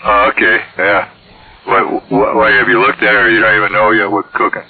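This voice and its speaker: A man's voice: lowish voice, level tone, a little fast, vocal fry, slight background noise. A man chatting casually over CB radio in a hesitant, folksy way. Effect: radio transmission. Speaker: CB radio operator. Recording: tinny, band-limited CB radio signal.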